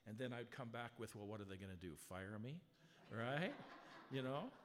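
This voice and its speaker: A man with a strong voice leads a sermon in a church sanctuary. He talks to the congregation with rhetorical questions and reads scripture slowly and with weight. The sound carries a long hall reverb, and his pitch sits at a middle level. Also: lively intonation. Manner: conversational preaching with rhetorical questions; slow, emphatic scripture reading. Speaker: pastor. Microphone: handheld or stand mic, sound adjusted live.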